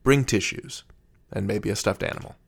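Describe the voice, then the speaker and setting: A man's voice: lowish voice, moderate pace, gravelly. A man hosting a podcast, introducing and interviewing in a playful, emphatic way.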